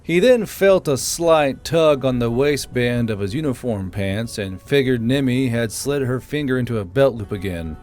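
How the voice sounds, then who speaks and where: A man's voice: mid-range voice, moderate pace, hardly any pauses, darkish tone, soft background music. A man reading scripted third-person narration for a fantasy audiobook.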